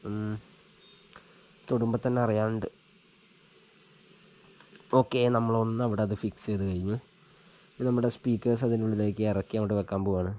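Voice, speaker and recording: A man with a fairly low voice and a dark, relaxed tone. He talks like a casual vlogger showing a practical job, informally thinking aloud as he works. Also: a little fast, pauses often, rough recording. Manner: informal, thinking aloud while working with his hands. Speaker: vlogger giving a hands-on tutorial. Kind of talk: casual chat while demonstrating an installation. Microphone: action camera mounted on a helmet he wears.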